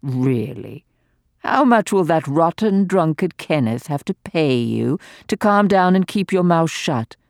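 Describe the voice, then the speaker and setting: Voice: mid-range voice, lively intonation, measured pace, creaky, darkish tone, dry recording, studio quality, voice pushed up. A reader voicing a spiteful, venomous old aristocratic woman who sneers and gloats theatrically.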